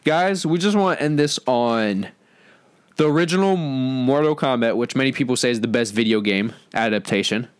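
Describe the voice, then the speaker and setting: A man's voice: medium pitch, moderate pace, clear, resonant tone. A man hosting a podcast discussion in casual, slangy speech, steering the others along. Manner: slangy, often addresses others as bro. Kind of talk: casual chat.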